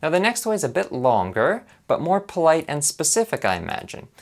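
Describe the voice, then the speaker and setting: A man's voice: on the high side, wildly swooping pitch, fairly quick, a little hiss or hum. A man from Canada narrating an upbeat, earnest lesson about learning Japanese. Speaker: language learner presenting a lesson.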